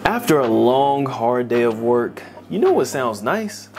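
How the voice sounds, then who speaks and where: A man's voice: medium pitch, very animated, unhurried, darkish tone. A man hosting a comedy video to camera on a lapel mic in a studio, speaking in a playful, conversational way. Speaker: host. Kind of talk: scripted to-camera presenting. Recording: voice with light music underneath.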